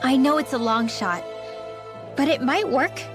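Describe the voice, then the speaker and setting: A woman's voice: high-pitched, a little slow, over music. A woman playing an earnest teenage girl mage in a video game cutscene, with a youthful delivery. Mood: thoughtful.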